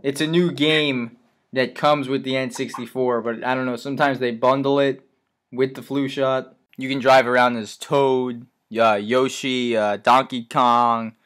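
A man making a deadpan prank phone call, speaking in an earnest, polite and hesitant way. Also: medium pitch, measured pace.

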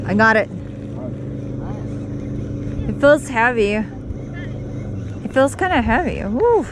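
A woman's voice: fairly high pitch, lively intonation, takes it slowly, noisy background. A woman vlogging on a fishing trip from a boat on a lake, chatty and cheerful, laughing often. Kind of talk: casual outdoor vlog narration.

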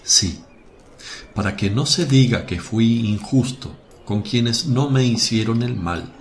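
A man playing a gentle, authoritative teacher in a radio drama, speaking in a calm, measured way. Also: mid-range voice, creaky. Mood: calm, assured.

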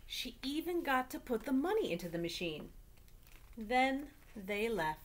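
A woman's voice: on the high side, big pitch swings, measured pace. A middle-aged woman reads a children's picture book aloud as a storyteller at home. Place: small room at home.

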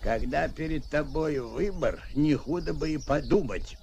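Creaky-voiced bearded old gnome. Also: male voice, on the high side, a little slow. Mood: thoughtful, lecturing. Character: bearded old gnome-like herbalist, kindly and learned. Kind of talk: animated film voice acting. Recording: noisy old film soundtrack.

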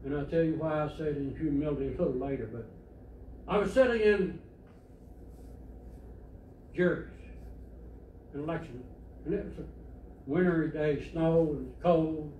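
An older man delivers a sermon from the pulpit, telling stories in a plain, conversational way. His voice sits fairly high for a man. It is picked up by a distant camera and echoes around the church sanctuary. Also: normal speed, pauses often, a little mellow. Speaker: preacher. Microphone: far camera. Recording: echoey church from far off, faint hiss.

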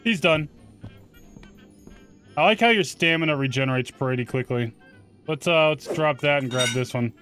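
Higher-voiced man casually commentating a game. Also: normal speed, pauses often, a bit bright, faint music behind. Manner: informal, slangy, mild swearing. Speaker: video game streamer. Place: close mic indoors, game music behind.

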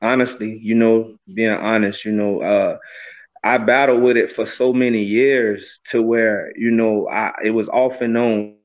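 A man's voice: fairly low pitch, flat delivery, unhurried. A man in his thirties, a gospel singer being interviewed over a video call from a small room, talking in an earnest, reflective way with many "you know" fillers. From Florida, USA. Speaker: gospel recording artist, guest. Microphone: webcam on a video call, close framing. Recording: slightly dull lo-fi video call audio.

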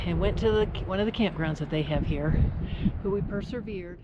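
A middle-aged woman casually chatting while on a motorcycle trip, upbeat and easily excited. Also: on the low side, a little fast, muffled recording, background noise. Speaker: motorcycle travel vlogger. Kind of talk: casual chat. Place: outdoors, on a motorcycle and at a forest campsite.

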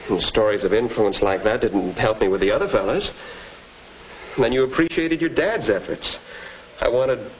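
Sincere, sensitive young American husband, played by a man, 1950s instructional drama; deep-ish voice, hissy vintage soundtrack. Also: natural voice. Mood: hurt, hesitant. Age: 20s. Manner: earnest, halting. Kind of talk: 1950s educational film acting. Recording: muffled, noisy vintage 1950s film soundtrack.

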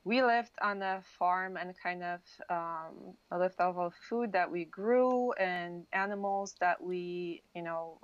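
The sound is a dull, lo-fi video call. A woman from Poland, a native Polish speaker, talks in a fairly low voice. She tells her own story casually, in a halting, rambling way. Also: clean tone, no room sound. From Poland, moved to US at thirteen. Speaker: interview guest. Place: dim indoor room, video call. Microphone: wired earphone mic.

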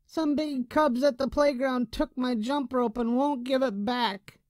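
A man voicing an upset little girl bear cub in a storybook reading, with a high, breathless, shrill voice. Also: fairly even intonation, a little slow, a little mellow, no room sound.

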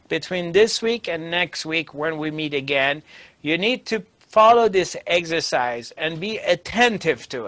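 A middle-aged man giving a spiritual discourse as a teacher, emphatic and deliberate, with pauses. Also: fairly high pitch, fairly bright tone, no room sound. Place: small dark indoor studio. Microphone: lapel mic.

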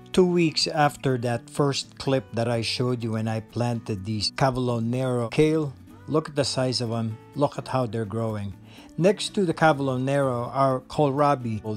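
A man giving a voice-over garden tour, explaining his vegetable beds with eager enthusiasm and the odd filler. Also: mid-range voice. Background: soft guitar music.

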